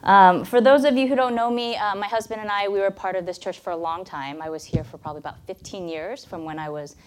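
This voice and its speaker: A woman in her thirties with an American accent who grew up with Mandarin as her first language, speaking at a church. She tells her story in an earnest, conversational way at a mid-range pitch, like someone sharing personal experience with a congregation. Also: fairly even intonation, fast talker, a little hiss or hum. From United States. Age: 30s. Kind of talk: personal testimony talk.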